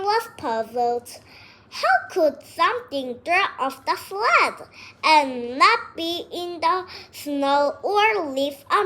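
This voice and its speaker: Slow, high-pitched child reading a story aloud. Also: pushed and bright.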